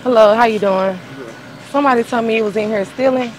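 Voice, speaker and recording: A woman's voice: a little high, fast. A young American woman talking casually as a guest prankster on location. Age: young adult. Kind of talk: casual chat and prank confrontation. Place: outdoor lot at night, large store aisle. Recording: noisy parking lot and store.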